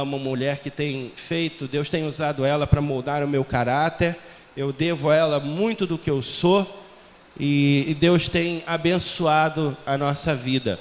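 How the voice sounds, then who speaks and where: A Brazilian man in his forties speaks to a congregation in a jovial, self-mocking, chatty way, with faint traces of a European Portuguese accent. His voice sits somewhat high. A long church reverb trails his words. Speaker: missionary. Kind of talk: church missionary talk. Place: large church hall.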